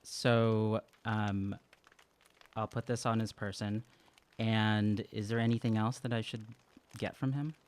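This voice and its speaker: A man's voice: fairly low pitch, level tone, slow, darkish tone, smooth. A man in his thirties roleplaying casually as a tabletop game player, thoughtful and hesitant, on a close microphone. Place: small indoor studio room. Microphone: close desk microphone on stand.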